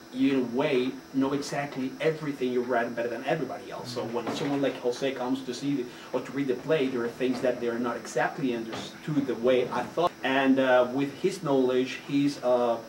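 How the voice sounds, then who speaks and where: A man's voice: mid-range voice, fairly even intonation, a little fast, a little mellow. A man, a playwright, giving earnest interview answers, often searching for words and repeating himself. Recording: roomy, distant mic, noisy ambience.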